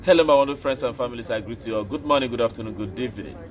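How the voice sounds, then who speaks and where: A Nigerian man hosting an opinion show, speaking slowly and deliberately, like a preacher. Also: mid-range voice. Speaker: commentary host. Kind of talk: opinion commentary.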